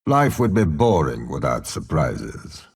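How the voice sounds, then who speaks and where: An actor playing a cold, scheming older warlord and spymaster in a video game, speaking with a gravelly, husky voice in a measured, haughty, menacing manner. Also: male voice, a bass voice, huge pitch swings, full character voice. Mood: calm, scheming. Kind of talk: video game character voice lines.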